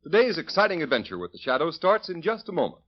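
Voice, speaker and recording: A man announcing a 1930s radio drama and reading its sponsor's ad, in a smooth, salesman-like manner. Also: fairly high pitch, sing-song, quick pace, music in the background. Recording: dull old radio recording.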